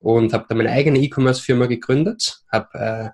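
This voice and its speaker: A man's voice: medium pitch, steady tone, a little fast, a little mellow. A man in his twenties from Vienna with an Austrian accent, a podcast guest who talks with many hesitations and mixes in English terms. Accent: Viennese Austrian German. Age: 20s. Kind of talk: podcast interview.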